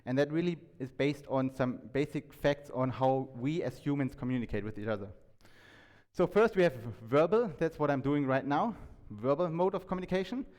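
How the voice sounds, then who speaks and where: A man giving an informal talk to an audience, conversational and halting, often restarting his sentences and checking in with questions. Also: on the high side, lively intonation, a little mellow. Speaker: conference speaker. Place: indoor talk venue, reverberant room. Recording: echoey hall with long reverb.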